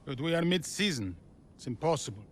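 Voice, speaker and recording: An actor playing an eager, pleading racing team executive in a video game, with a cajoling manner. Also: male voice, a little high, big pitch swings, a little fast. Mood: worried, pleading.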